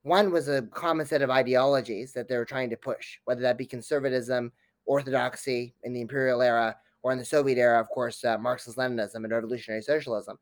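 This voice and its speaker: A man's voice: mid-range voice, fairly even intonation, fast, dry recording. Guy doing expert interview answers, fluent and detailed, academic, very dry recording. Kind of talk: interview analysis, explanatory.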